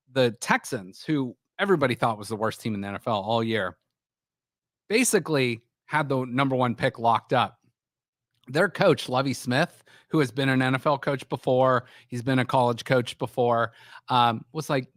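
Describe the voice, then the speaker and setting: A man's voice: medium pitch, fairly bright tone, no echo, clean studio sound. A man hosting a sports talk show, with a scratchy voice from a sore throat, rambling with frequent restarts. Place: small studio.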